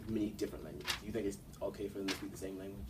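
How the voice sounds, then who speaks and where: A man's voice: fairly low pitch, fairly even intonation, rapid-fire, a little mellow. A young man hosting an interview, asking questions in a casual way with fillers. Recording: distant mic, faint background noise.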